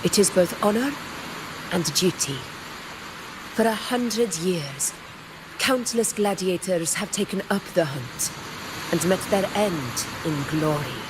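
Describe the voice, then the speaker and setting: An actress playing a proud, commanding gladiator leader in a video game, speaking formally with stately self-assurance. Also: female voice, lowish voice, animated, slow, noisy background. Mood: solemn, resolute. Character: proud, commanding female gladiator war leader, regal and battle-hungry. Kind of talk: dramatic video game character dialogue.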